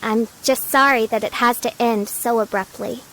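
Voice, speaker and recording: A woman's voice: on the high side, fairly even intonation, moderate pace, a bit bright, rough recording. A woman voicing a theatrical teenage girl who plays the grandiose noble princess in a video game, with dramatic delivery. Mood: sincere, wistful. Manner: pompous, archaic, dramatic phrasing. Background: game music.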